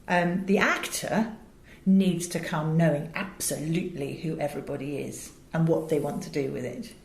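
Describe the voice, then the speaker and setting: An Englishwoman speaks in a slightly low voice in a roomy, echoing space. She explains her craft as a director in articulate, conversational interview talk. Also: normal speed. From England. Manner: articulate, illustrates points with acted examples. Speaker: voice director and audiobook producer. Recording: roomy, echoey sound.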